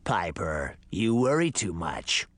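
A man voicing an ancient, menacing male conspirator with a dry, crackling, creaky voice and a coldly calm manner. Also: low-pitched, takes it slowly, no echo, pitched below the performer's own voice, heavily acted.

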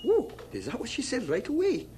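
Friendly, animated Scottish traveller. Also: female voice, a little high, a little fast, heavy background noise. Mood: cheerful, friendly. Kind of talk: children's television make-believe acting.

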